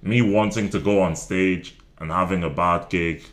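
A man's voice: low-pitched, fairly even intonation, moderate pace. A man in his twenties, a stand-up comedian from Nigeria and Ireland, chatting casually in an interview with many ums and restarts. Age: 20s.

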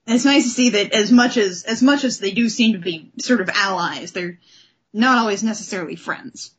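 A woman's voice: mid-range voice, normal speed, fairly bright tone, husky. A woman hosting a casual comic book discussion, speaking in an enthusiastic, informal way. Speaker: podcast host.

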